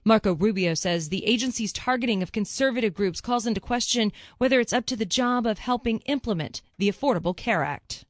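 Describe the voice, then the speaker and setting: A woman's voice: a little high, fairly quick, hardly any pauses, no echo, studio quality. An American woman working as a radio news anchor, reading scripted local and national news. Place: radio studio.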